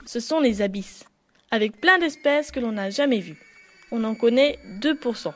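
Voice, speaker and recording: High-pitched woman narrating for children. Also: expressive, moderate pace. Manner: addresses viewers directly, explanatory. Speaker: narrator of an educational cartoon. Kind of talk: scripted educational narration for children.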